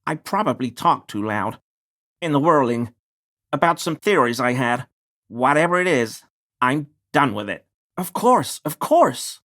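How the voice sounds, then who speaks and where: Game role: anxious, toadying little bigot of a deliveryman, very animated intonation, slippery and apologetic manner. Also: mid-range voice, measured pace. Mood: contrite, submissive. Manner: obsequious, stammering, evasive. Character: nervous, ingratiating male pie deliveryman with bigoted suspicions. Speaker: video game voice actor. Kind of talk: scripted game dialogue.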